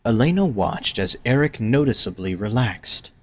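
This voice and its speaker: A man's voice: medium pitch, animated, normal speed. A man reading scripted narration for an audio drama as its narrator.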